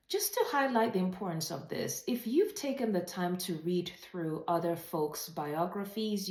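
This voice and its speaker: Female webinar host, slightly low register, encouraging and direct-to-viewer teaching style, emphatic phrasing, recorded with clear room echo. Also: a little mellow. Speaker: host of a writing advice channel. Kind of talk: informal instructional webinar talk to viewers. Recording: roomy, echoey sound.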